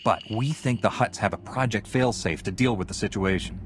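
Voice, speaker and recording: An actor playing a calm, resolute Jedi hero in a video game, speaking in a direct, measured, authoritative way. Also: male voice, lowish voice, over music, natural voice. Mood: earnest, resolute.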